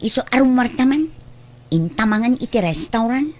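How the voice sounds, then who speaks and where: A high female voice from an actress plays a blunt friend in an Ilocano radio drama. She comes across as impatient and outspoken. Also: animated, normal speed, darkish tone. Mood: teasing impatience.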